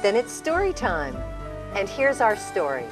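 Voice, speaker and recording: A woman presents a children's story show in a scripted announcer's style. Her pitch moves up and down in a lively way at a middle height. Music plays clearly behind her. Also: measured pace.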